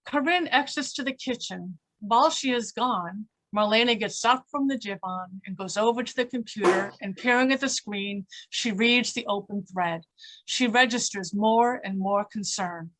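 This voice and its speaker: A woman narrates a play reading. She delivers the scripted stage directions in a middle-pitched voice. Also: moderate pace, a bit bright, low-quality recording.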